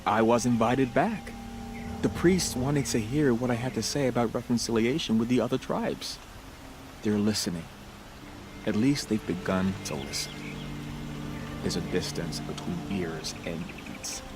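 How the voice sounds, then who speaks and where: An actor playing a gentle, earnest sun priest in a video game, with thoughtful, formal speech. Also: male voice, mid-range voice, measured pace, noisy background, natural voice. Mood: earnest, reflective.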